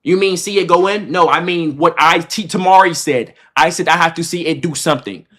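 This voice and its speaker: A man's voice: on the high side, a little fast. A man in his twenties livestreaming, telling a story casually in slangy, swear-heavy speech, repeating himself and acting out what was said. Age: 20s. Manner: animated, repetitive retelling with acted-out quotes. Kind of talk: casual reaction-stream storytelling to chat.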